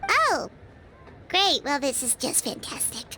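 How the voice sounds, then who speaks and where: A woman voicing a tiny, childlike, excitable fairy companion in a video game, with a squeaky, perky delivery. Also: a little slow, slight background noise, full character voice. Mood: explaining. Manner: perky, exclamatory.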